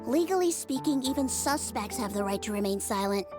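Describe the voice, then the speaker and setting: An actress plays a young female investigator in a video game. She sounds high and lively, earnest and methodical, with music clearly behind her. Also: a little fast, lightly acted. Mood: pondering. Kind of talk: animated video game voice acting.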